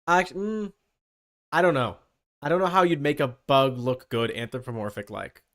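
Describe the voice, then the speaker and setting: A man chatting casually on a livestream, rambling and thinking out loud. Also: mid-range voice, normal speed. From Canada. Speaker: streamer.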